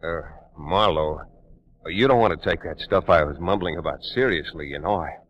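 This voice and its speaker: A man's voice: sits low, normal speed, dry recording. An actor playing a cagey male trapeze artist who stammers nervously in a 1940s radio drama. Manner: nervous, stammering, with uneasy laughs. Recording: dull vintage radio recording.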